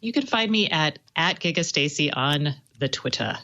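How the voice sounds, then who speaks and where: A woman talks as an expert guest on a tech show, explaining casually and informally. Her voice is on the low side. The recording is a little dull and lo-fi. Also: animated, moderate pace, bright, forceful tone. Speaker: tech journalist and podcaster, guest. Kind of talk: casual expert interview talk.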